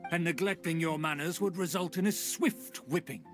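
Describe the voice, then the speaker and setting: A man's voice: a little high, normal speed, lightly acted. An actor playing a haughty, disdainful nobleman from a fading clan, talking in a pompous, lecturing way over game music. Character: haughty aristocratic man of a declining noble clan.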